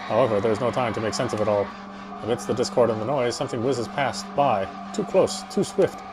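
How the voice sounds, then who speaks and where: A man's voice: medium pitch, fairly quick, noisy background. A man reading a game's story aloud as dramatic narration, as a player would. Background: game music.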